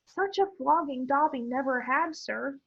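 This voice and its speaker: A woman voicing a small, fretful male house-elf who speaks of himself by name in a high pleading voice. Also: unhurried, creaky, fairly bright tone, dull audio, heavily acted. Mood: earnest.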